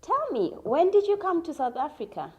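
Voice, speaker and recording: A woman's voice: very high-pitched, wildly swooping pitch, normal speed, dull audio, a little hiss or hum. A woman hosting a talk show, opening with an upbeat scripted intro and asking interview questions. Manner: upbeat, promotional.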